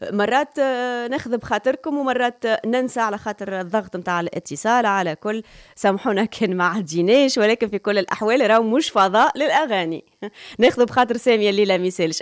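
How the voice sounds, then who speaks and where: A woman's voice: on the high side, no echo, studio quality. A woman with a Tunisian accent hosting a live radio call-in show and chatting with callers.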